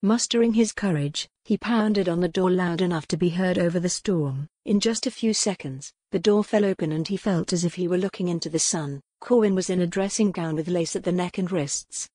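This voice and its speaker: A woman's voice: lowish voice, fairly quick, few pauses, dark tone, slightly rough, dry recording. A woman narrating a historical novel as scripted dramatic audiobook fiction.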